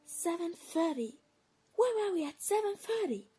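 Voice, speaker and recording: A woman playing a clever, brisk thirteen-year-old schoolgirl witch, explaining matter-of-factly in an audio play. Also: extremely high pitch, sing-song, dark tone, heavily acted. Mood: urgent, explaining. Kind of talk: dramatic audio play acting.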